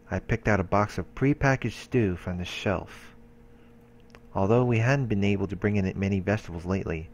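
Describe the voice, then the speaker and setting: A man's voice: low-pitched, a little hiss or hum. A man hosting a let's play, reading game narration aloud with occasional casual asides.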